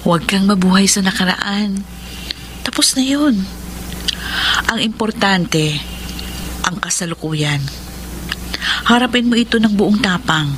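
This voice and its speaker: An actress playing a gentle, forgiving, steadfast woman in love in a radio drama. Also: mid-range voice, a little slow, pauses often, noisy background, close to an everyday voice. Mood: reassuring. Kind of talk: Filipino radio drama acting.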